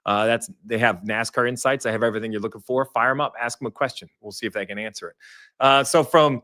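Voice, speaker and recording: Hoarse man hosting a race show. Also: medium pitch, fairly even intonation, fast, bright, forceful tone. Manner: upbeat, conversational, reads fan chat aloud. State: hoarse, says he lost his voice. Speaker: show host.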